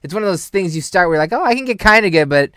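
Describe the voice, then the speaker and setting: Host, man, 30s, slightly high, smooth and clear, dry close mic, easygoing and laughs often. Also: lively intonation, rapid-fire, pushed and bright, dry recording. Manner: laid-back, laughs often, says dude a lot. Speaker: podcast host. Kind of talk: casual interview chat.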